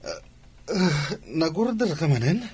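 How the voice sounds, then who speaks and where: A man's voice: fairly high pitch, very animated, unhurried, darkish tone, a little hiss or hum. A man playing a sluggish, compliant cheating husband in an Ilocano audio drama, with an Ilocano Filipino accent. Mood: sleepy, compliant.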